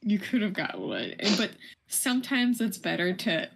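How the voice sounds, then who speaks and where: A woman's voice: medium pitch, expressive, moderate pace, gravelly, rough recording. A young woman chatting casually and informally as a livestream co-host, agreeable and conversational. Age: young adult. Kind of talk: casual chat.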